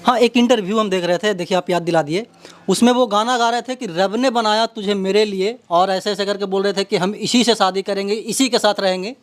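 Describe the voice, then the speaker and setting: A man's voice: high voice, a little fast. A man with a Bihari accent working as an interviewer, introducing and questioning in a dramatic, repetitive way. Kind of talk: local media interview with narrated introduction.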